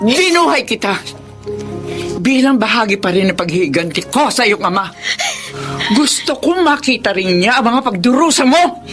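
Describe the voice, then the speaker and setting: A woman's voice: fairly high pitch, big pitch swings, normal speed, a bit bright, faint music behind. A woman playing a stern, unforgiving, vengeful mother in a Filipino radio drama. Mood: bitter. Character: bitter, vengeful, unforgiving mother named Amanda.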